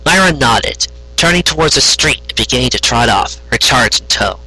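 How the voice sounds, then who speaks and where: A man narrating a scripted story aloud in a rough, husky voice. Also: mid-range voice, expressive, normal speed, pushed and bright, dry recording, poor audio. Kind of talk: scripted fan fiction narration.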